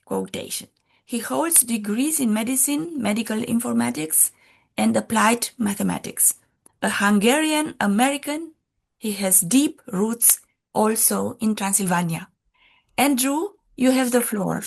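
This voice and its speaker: Woman with a gravelly voice introducing a speaker. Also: on the high side. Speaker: colloquium chair.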